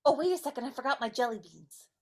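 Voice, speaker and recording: A woman voicing a timid, silly male rat sidekick in a live dramatic reading, whiny and excitable. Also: high-pitched, fast talker. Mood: whiny, anxious. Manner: whiny, excitable comic complaining.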